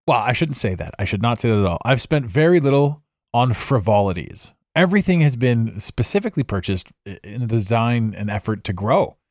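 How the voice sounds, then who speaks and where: A man's voice: lowish voice, no echo. A man hosting a solo podcast from his home studio, rambling and reflective, with frequent restarts. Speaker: podcast host. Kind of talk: impromptu solo podcast monologue. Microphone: studio microphone.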